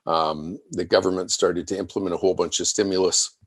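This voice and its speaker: A man giving unscripted analyst commentary on charts, measured and explanatory, thinking aloud as he presents. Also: low-pitched, fairly even intonation, no echo, studio quality. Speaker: financial market analyst presenting.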